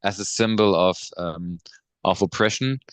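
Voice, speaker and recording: A man's voice: deep voice, normal speed, smooth, no echo. A German man whose first language is German, hosting a casual podcast talk and asking questions with plenty of hedging fillers. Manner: questioning, hedging with lots of "you know" and "um". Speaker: podcast host. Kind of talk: casual conversation.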